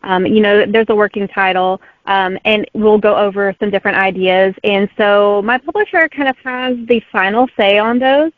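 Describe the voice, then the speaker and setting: A woman author answers interview questions in a relaxed way with plenty of "um"s. Her voice comes through a thin, phone-like line with a dry sound. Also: mid-range voice, normal speed, hardly any pauses, no room sound. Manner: easygoing, with filler "um"s. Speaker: author guest. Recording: tinny phone-like remote line.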